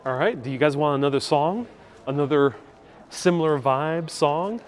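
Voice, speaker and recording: A man's voice: on the high side, moderate pace. A man hosting a casual live stream outdoors in a city, chatting with viewers and reading out their comments. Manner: chatty and upbeat, thanks viewers by name. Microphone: wireless clip-on mic.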